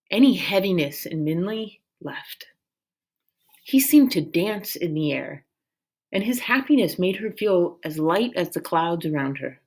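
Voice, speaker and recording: A woman reading a children's novel aloud as expressive storybook narration. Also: lowish voice.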